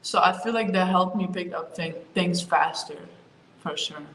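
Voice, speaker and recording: A young woman from Ukraine answering interview questions about her move and her sport, talking in a relaxed way with lots of fillers. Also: on the low side, normal speed, a little hiss or hum. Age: young adult. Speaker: college basketball player, interview guest. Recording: roomy with faint ambience.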